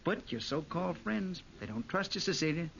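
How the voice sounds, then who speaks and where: An actor playing a brash, flirtatious American gambler who teases and probes in a 1940s radio drama. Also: male voice, fairly high pitch, expressive, moderate pace, vocal fry, fairly bright tone. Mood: insinuating. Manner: glib, teasing. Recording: muffled old radio recording, faint noise.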